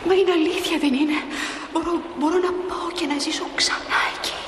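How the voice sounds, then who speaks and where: An actress playing a newly dead young mother in a stage drama, restless, tender and plaintive, often hushed and breathy. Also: extremely high pitch, steady tone. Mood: eager, insistent. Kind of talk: emotional stage drama acting. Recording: noisy, echoing hall recording of a play.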